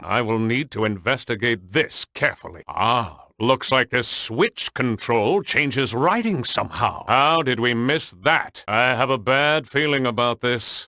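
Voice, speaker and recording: A man voicing a brainy, excitable cartoon penguin scientist in a video game, speaking with precise, technical and theatrically earnest delivery. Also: medium pitch, no echo, studio quality. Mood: intrigued, musing. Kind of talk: animated cartoon game dialogue.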